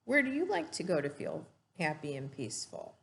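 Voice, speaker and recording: A woman's voice: deep voice, huge pitch swings, moderate pace, gravelly. A woman reading a storybook aloud and teaching children, explaining simply and putting questions to her young listeners.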